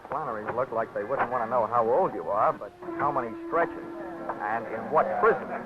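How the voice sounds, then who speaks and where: An actor in hard-boiled 1940s radio style, playing a cocky, wisecracking insurance investigator who also narrates, with a breezy delivery. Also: male voice, medium pitch, normal speed, loud music behind the voice, natural voice. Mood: narrating. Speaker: narrator and lead. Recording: dull old radio broadcast with strong hiss.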